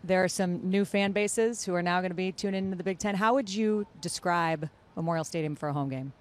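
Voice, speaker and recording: A woman's voice: lowish voice, a little fast. A woman hosting a TV sports interview, asking chatty, upbeat, long-winded questions. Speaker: TV sports host.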